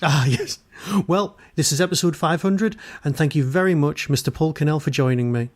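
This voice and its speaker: A man's voice: fairly high pitch, moderate pace. A man speaking as a podcast host. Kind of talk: casual interview chat and scripted announcements.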